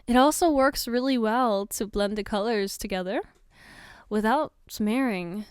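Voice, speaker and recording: A smooth, fairly high voice from a woman acting as a caring girlfriend in an ASMR roleplay. She takes her time, explaining things patiently with gentle reassurance and a playful, teasing touch. The sound is dry, with hardly any room to it. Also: measured pace, no room sound, lightly acted. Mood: soft, explaining. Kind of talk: ASMR roleplay, soft personal attention.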